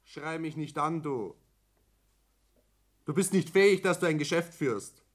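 An actor playing a resentful, stubborn young son and business heir in a radio play, speaking accusingly. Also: male voice, fairly high pitch, unhurried. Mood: bitter, accusing. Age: young adult. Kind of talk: German radio play acting.

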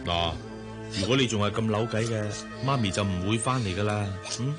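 An actor with a Hong Kong Cantonese accent playing a gentle, coaxing father and boss in a radio drama. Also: lowish voice, fairly quick, over music, lightly acted. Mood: coaxing, patient. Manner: soft, coaxing. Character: gentle, persuasive male boss and young father.

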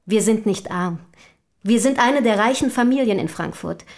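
A woman's voice: medium pitch, normal speed, lightly acted. An actress in a German radio play voicing an eager, self-assured young woman of a rich family, speaking formally and articulately. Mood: chatty, proud.

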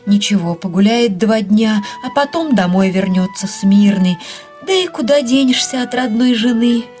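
A woman narrates a short story for radio in an expressive, wry manner. Her voice sits at a medium pitch, and music plays faintly behind her. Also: normal speed.

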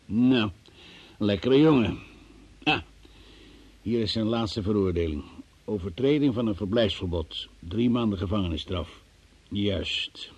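An actor playing a forceful police investigator in a radio drama, formal, probing and theatrical in his delivery, with a husky voice. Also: lowish voice, measured pace, pauses often. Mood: measured, dry. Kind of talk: Dutch radio drama acting.

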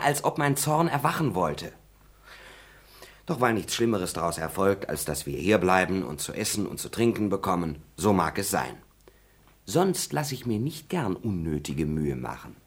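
A man's voice: deep voice, big pitch swings, unhurried. A man acting an impudent, grumbling servant in a radio play. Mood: cheeky. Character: cheeky, grumbling male servant.

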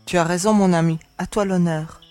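A man voicing a gentle young woman companion, his voice lightly raised and soft. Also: sits high, fairly even intonation, a little fast, no room sound, soft background music, voice pushed up, close to an everyday voice.

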